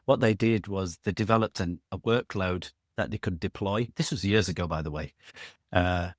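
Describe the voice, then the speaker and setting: Middle-aged man, low-pitched, loose and jokey guest, dry recording. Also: normal speed, no echo. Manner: rambling, self-correcting, jokey asides. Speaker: podcast guest. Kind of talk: casual conversational interview.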